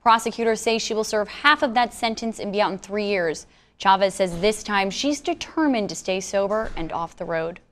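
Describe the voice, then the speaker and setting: A woman's voice: medium pitch, normal speed, fairly bright tone. A woman with an American accent narrating a scripted television news report as a reporter.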